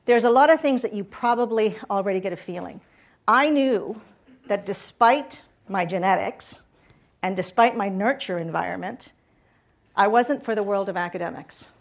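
A woman's voice: medium pitch, normal speed. A middle-aged woman from Puerto Rico giving a chatty guest talk to students, often checking in with the audience. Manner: chatty, asks audience questions. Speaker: guest speaker. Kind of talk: lively campus talk.

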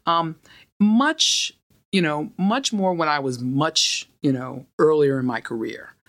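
Middle-aged New woman, deep soothing voice, unhurried and confident. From United States. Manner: calm, measured, self-assured. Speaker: podcast guest, law firm partner. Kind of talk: casual interview conversation.

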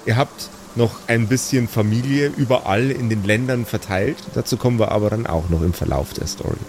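A man's voice: mid-range voice, a little fast, background noise. A man improvising narration as game master of a tabletop game, with a sardonic manner.

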